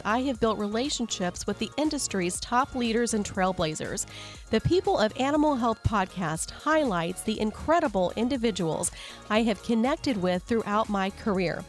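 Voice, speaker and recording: A woman hosting a podcast, reading a polished introduction and asking her guest questions. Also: fairly high pitch. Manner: polished, upbeat delivery. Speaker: podcast host. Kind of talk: scripted intro read, then interview questions.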